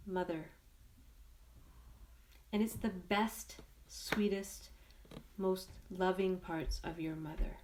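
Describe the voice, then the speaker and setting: A woman guides a meditation in a fairly low voice. She speaks very slowly and gently, setting out short phrases with long pauses between them. The delivery is soothing and unhurried.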